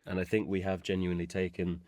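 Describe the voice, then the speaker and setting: A man's voice: sits low, monotone, fast talker, darkish tone, dry recording, clean studio sound. A man chatting casually and playfully as a voice actor being interviewed, telling stories a little hesitantly. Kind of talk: casual chat answering listeners' questions.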